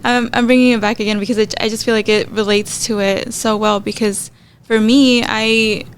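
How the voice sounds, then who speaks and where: A woman's voice: fairly high pitch, flat delivery, moderate pace, clean tone. A woman from El Salvador co-hosting a podcast, chatting candidly with lots of "like". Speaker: podcast co-host. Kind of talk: casual podcast conversation.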